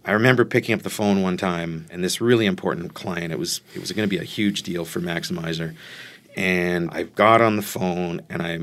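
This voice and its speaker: Male interview guest, middle-aged, fairly low pitch, relaxed-format answers told as anecdotes. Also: fairly quick. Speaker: interview guest, sales executive.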